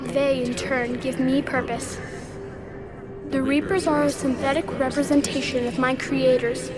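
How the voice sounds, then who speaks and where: High-pitched, detached ancient machine intelligence. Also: female voice, level tone. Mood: cold, certain. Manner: measured, formal, detached, didactic. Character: ancient machine intelligence in child form, calm and superior. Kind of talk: video game cutscene acting. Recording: loud music behind the dialogue. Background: loud game score.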